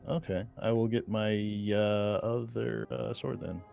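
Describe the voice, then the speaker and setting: A man with a low, creaky voice chats casually as a tabletop game player. His delivery is hesitant and tentative, over faint music. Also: fairly even intonation, a little slow, warm tone.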